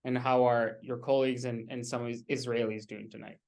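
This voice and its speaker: A man's voice: mid-range voice, level tone, fairly quick, darkish tone. Young man hosting a formal Q&A. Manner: formal, reads from notes. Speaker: event host. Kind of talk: reading introduction and questions.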